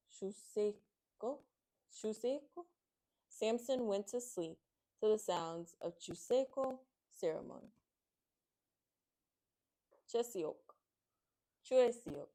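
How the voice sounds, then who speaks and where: A woman's voice: mid-range voice, darkish tone. A woman hosting a children's read-aloud, addressing listeners as friends and reading picture books expressively.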